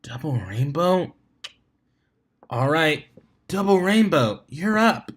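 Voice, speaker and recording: A man's voice: a little high, big pitch swings, takes it slowly, breathy edge, voice pushed up, heavily acted. A man voicing a drunk, spaced-out female unicorn DJ announcer in a storybook reading. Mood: dazed, announcing. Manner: dazed, slack.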